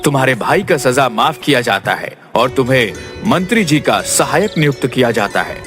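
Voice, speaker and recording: A man's voice: mid-range voice, very animated, normal speed, a bit bright, soft background music. A man playing an authoritative, fair-minded king in an audio folk tale, with a stately, declamatory manner. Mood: approving.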